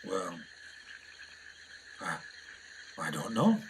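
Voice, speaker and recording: An actor playing a rough, indignant British scientist on a 1920s jungle expedition, speaking in emphatic slang for a radio play. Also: male voice, sits low, wildly swooping pitch, drawn-out words, exaggerated voice. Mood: uneasy. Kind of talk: dramatic 1920s adventure radio acting.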